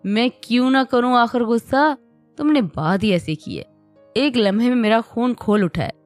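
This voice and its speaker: A woman voicing a touchy, quick-tempered young wife who sulks and snaps. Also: mid-range voice, lively intonation, dry recording, faint music behind. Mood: huffy. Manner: indignant, sulky.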